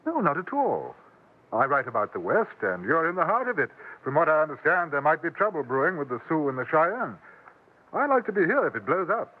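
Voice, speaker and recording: A courteous English correspondent in an old radio western. His fairly high voice rises and falls freely, with dry, understated wit. The sound is thin and boxy, like a vintage broadcast. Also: sing-song, fairly quick. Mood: courteous, mild. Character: polite, wry English newspaper correspondent visiting the frontier. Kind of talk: 1950s radio western acting. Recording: tinny vintage radio recording.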